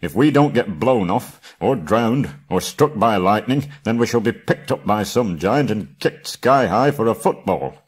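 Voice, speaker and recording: A man voicing a proud, gruff male dwarf leader in a storybook audiobook, speaking emphatically. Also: fairly low pitch, expressive, a little slow, few pauses. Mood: grumbling, alarmed. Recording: cassette audiobook transfer.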